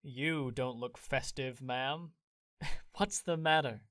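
An actor playing a cheerful, devoted young gentleman in an audio drama, playful in manner. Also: mid-range voice, slow pace, no echo.

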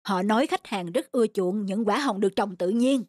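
A woman's voice: medium pitch, moderate pace, darkish tone, no echo, clean studio sound, close to an everyday voice. A woman playing a conscientious, thoughtful male farmer in a children's cartoon, earnest and reflective.

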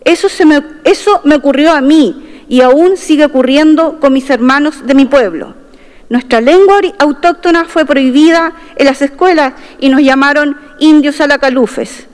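A middle-aged woman reads a formal speech into a desk microphone, her tone earnest and proud. Her voice sits high. It carries a long reverb from the large wood-panelled chamber around her. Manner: earnest and proud, stressing key phrases. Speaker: constitutional convention delegate. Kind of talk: formal opening speech, partly read aloud. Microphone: desk microphone at a podium.

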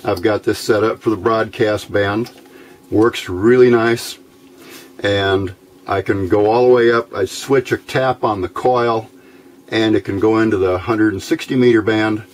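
An American man giving a casual, unscripted hands-on demonstration, thinking aloud in a halting way as he goes. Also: fairly low pitch, fairly even intonation. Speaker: hobbyist radio builder presenting a how-to video. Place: small indoor workbench. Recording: noticeable background noise.

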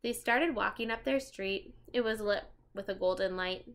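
A young adult woman reading a children's book aloud in an expressive, animated manner, talking directly to her young listeners. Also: medium pitch, normal speed. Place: small furnished room at home.

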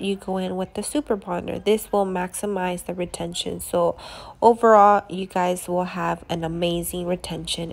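A woman's voice: fairly low pitch, vocal fry. A young woman, congested from allergies, chatting casually as she reviews her lash products, with many fillers and restarts.

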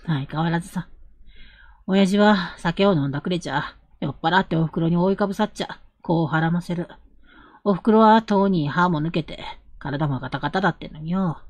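A woman playing an earnest, protective young man, a rough-spoken elder brother, in a Japanese period audio drama. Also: on the low side, no echo, lightly acted. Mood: bitter, resigned. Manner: earnest, measured, gently insistent.

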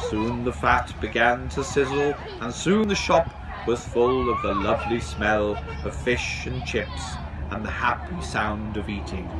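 A middle-aged man reading a children's storybook aloud outdoors, in an animated, expressive way. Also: medium pitch, unhurried, poor audio. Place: outdoors on a garden bench.

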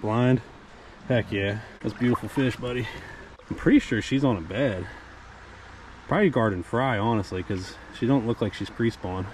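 A man chatting casually and excitably in slang as he hosts a fishing vlog outdoors on an action camera. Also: mid-range voice, moderate pace, poor audio. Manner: excitable, slangy, says dude and man often. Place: outdoors at a wooded creek. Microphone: action camera worn or held by him. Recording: outdoor recording with background noise.

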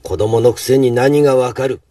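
A man playing an arrogant, villainous master chef in an anime, husky and haughty, formal but full of contempt. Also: medium pitch, steady tone, normal speed, dark tone, no echo, clean studio sound, recorded from a distance, close to an everyday voice. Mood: scornful. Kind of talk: Japanese anime acting.

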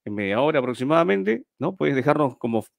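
A man's voice: mid-range voice, big pitch swings, quick pace, gravelly, dry recording, studio quality. A middle-aged man hosts a live stream giveaway from a small room on a webcam, chatting, repeating himself and reading out viewers' names.

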